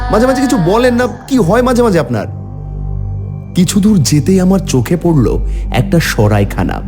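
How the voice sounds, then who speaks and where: A man playing a village man who tells his own horror story dramatically in a cartoon. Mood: impatient. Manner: theatrical, rising into high pleading. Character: frightened, hungry village man telling his ghost tale. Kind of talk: dramatic first-person horror story narration.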